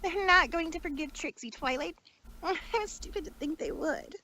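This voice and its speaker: A woman's voice: very high voice, normal speed, sharp tone. A woman voicing a proud but fragile female show pony in a theatrical, self-pitying cartoon style. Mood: hurt, upset. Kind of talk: cartoon-style character acting for a story reading.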